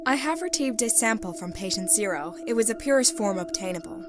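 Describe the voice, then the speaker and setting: A woman's voice: medium pitch, lively intonation, close to an everyday voice. Woman acting as composed sci-fi secret agent over music. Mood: composed, formal. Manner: formal, measured reporting. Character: composed, ruthless female secret agent in science fiction. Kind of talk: scripted dramatic reading, mission debrief. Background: background music.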